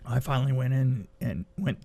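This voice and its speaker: A man co-hosting a podcast, chatting casually and telling anecdotes in an animated way, often repeating himself for emphasis. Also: mid-range voice, moderate pace. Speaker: podcast co-host and film production designer. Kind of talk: casual movie review chat and anecdotes.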